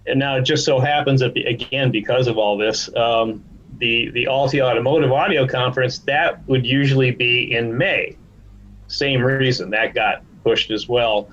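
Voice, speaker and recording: A man's voice: medium pitch, fairly even intonation, moderate pace, fairly bright tone, dull audio. A man speaking conversationally as a guest executive in a podcast interview. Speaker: podcast guest, trade association chief executive.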